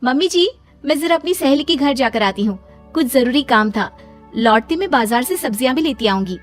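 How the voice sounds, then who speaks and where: A woman acts as a young cartoon daughter-in-law, earnest and chatty. Her voice is high, with music playing faintly behind it. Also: animated, brisk. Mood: curious. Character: young devout daughter-in-law, dutiful but reluctant worker.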